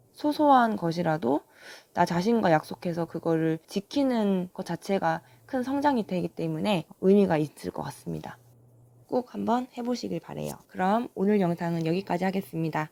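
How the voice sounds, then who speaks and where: A young woman vlogger with a hoarse voice from a sore throat, talking calmly and earnestly to her viewers about her plans for the year. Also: medium pitch, no echo. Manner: calm, earnest. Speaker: online seller and vlogger. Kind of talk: calm vlog talk to viewers.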